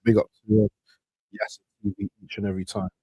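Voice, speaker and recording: Male football stream host, dark relaxed tone, dry recording, informal running commentary. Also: lowish voice, quick pace, breathy edge, no echo, rough recording. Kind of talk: casual live match commentary and chat.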